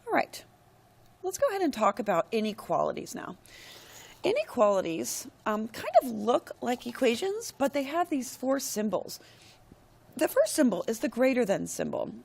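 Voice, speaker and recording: A woman teacher talks through a math lesson while she records her screen. Her voice is hoarse and gravelly because she is losing it. She explains each step in a steady, methodical way. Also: a little high, normal speed. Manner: steady, step-by-step explaining with frequent "all right, go ahead".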